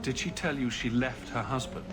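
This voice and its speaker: An actor playing a terse, guarded adventurer hero in video game dialogue. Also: male voice, medium pitch, fairly even intonation, moderate pace, lightly acted. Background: game music.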